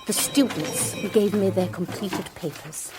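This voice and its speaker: An actress playing a sharp-eyed, wry former schoolmistress, telling a mystery in a precise, measured way for radio drama. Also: female voice, fairly low pitch, over music, natural voice. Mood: wry, reflective. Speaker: narrator and lead character. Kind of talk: first-person dramatised narration, radio drama.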